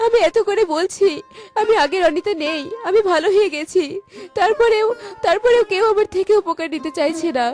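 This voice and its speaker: A very high, tearful village wife from a cartoon tale. She pleads and repeats herself with desperate, repentant feeling. Music runs underneath. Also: brisk. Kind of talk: melodramatic Bengali cartoon voice acting. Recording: loud background music.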